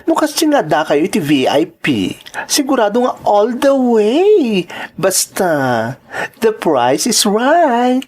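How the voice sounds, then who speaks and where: A woman's voice: medium pitch, sing-song, slow, darkish tone. A woman with a Filipino accent playing a brash, gossipy young club entertainer who teases and pries in a radio-style drama. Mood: teasing, prying. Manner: teasing, nosy, slangy.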